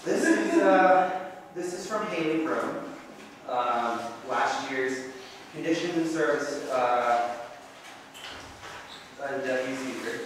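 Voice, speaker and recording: A young man giving a report at a student government meeting, halting, with many ums and uhs. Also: mid-range voice, a bit bright, slight background noise. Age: young adult. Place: meeting room. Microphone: far camera. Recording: echoey room from far off.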